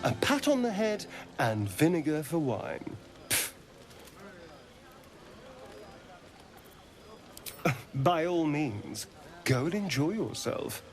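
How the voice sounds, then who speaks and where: An actor playing a flirtatious, self-indulgent vampire rogue in a video game, with an arch, theatrical drawl. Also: male voice, on the high side, very animated, unhurried, soft background music. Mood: bitter, petulant. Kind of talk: video game character acting.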